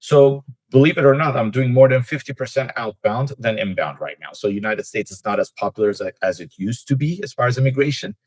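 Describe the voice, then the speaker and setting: A man's voice: medium pitch, lively intonation, a little fast, hardly any pauses, darkish tone, no echo, poor audio. A man who works as an immigration attorney, telling anecdotes as a podcast guest with frequent "uh" fillers. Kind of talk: casual podcast interview.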